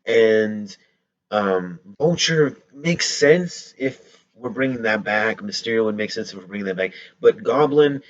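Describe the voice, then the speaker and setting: A hoarse young man hosting a casual trailer reaction, breaking into excited rapid exclamations. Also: lowish voice, muffled recording. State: hoarse from yelling.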